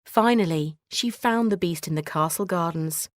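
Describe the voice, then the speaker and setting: A woman storyteller giving a measured, expressive scripted narration of a children's bedtime story. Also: on the low side, no echo, clean studio sound.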